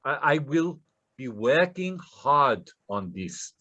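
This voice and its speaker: In a muffled recording, a desperate Russian man, played by an actor answers as a submissive underling. His voice is husky and slow, stammering with nervous deference. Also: mid-range voice, very animated, sharp tone, exaggerated voice. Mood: anxious, grateful. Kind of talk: readers theater stage acting.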